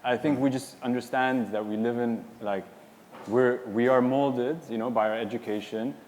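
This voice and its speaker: A man's voice: mid-range voice, fairly even intonation, moderate pace, darkish tone. A man on a symposium panel giving a loose, rambling answer full of "you know" and "like". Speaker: panelist and publisher. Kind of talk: casual spoken answer on a panel. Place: hall at a symposium. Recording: long reverb in a hall, faint noise.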